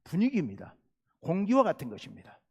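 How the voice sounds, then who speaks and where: A middle-aged pastor with a Jeolla Korean accent preaches to his congregation, his fairly high voice swinging widely as he asks questions and presses his points. The sound carries the long reverb of a church sanctuary. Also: huge pitch swings, fast, vocal fry, husky. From South Jeolla, Korea. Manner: earnest preaching, rhetorical questions, rising emphasis. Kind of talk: sermon.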